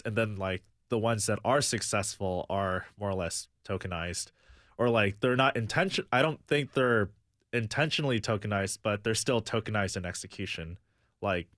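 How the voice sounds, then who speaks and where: A man's voice: fairly low pitch, a bit bright, dry recording, clean studio sound. A young man from the United States, a guest on a radio talk show, answering earnestly and thinking aloud with many fillers. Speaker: guest, music booker.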